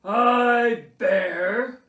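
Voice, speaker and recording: Woman doing a loud, grumpy, melodramatic sick bear. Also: fairly high pitch, pitched above the performer's own voice, heavily acted. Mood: ailing, pompous. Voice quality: gruff, growly. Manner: loud, pompous, melodramatic, drawn-out. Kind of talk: children's storybook character voice. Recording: roomy echo.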